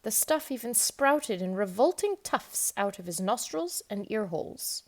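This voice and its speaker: A woman reading a children's book aloud in an expressive, emphatic storytelling manner. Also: mid-range voice, measured pace. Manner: animated, emphatic storytelling with dramatic stresses. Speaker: storybook reader.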